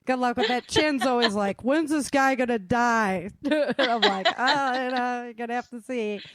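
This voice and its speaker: Giggly, chatty woman with a higher voice. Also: moderate pace, heavy background noise. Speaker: host and author of an audio drama. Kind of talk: casual group chat.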